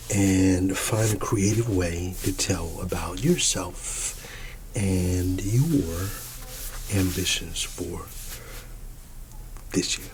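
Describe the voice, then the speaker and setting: A man doing a soft-spoken ASMR roleplay as an easygoing, quirky schoolteacher, often dropping into a whisper and repeating short, folksy, reassuring phrases. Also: deep voice. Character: quirky, easygoing male schoolteacher addressing his class. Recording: clearly audible background noise.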